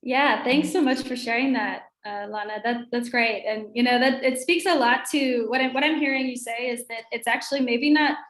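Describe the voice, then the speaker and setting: A woman's voice: on the high side, normal speed, few pauses, fairly bright tone. A woman moderating a panel over a video call, upbeat and affirming. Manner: upbeat, affirming, light laughs. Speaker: webinar moderator. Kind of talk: panel discussion. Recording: echoey room on a video call.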